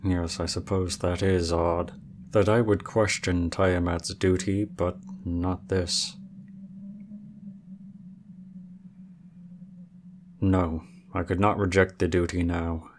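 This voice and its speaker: A man's voice: low-pitched, flat delivery, measured pace, slight background noise. A man playing a proud, aloof male dragon in human form, speaking formally and with cool reserve in an ASMR roleplay. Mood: reserved, reflective.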